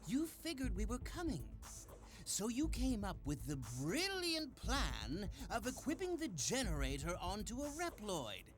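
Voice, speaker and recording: A man's voice: sits high, wildly swooping pitch, a little slow, exaggerated voice. A man voicing a smug, theatrical video game villain with a taunting, mock-polite manner. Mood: gloating. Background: game music.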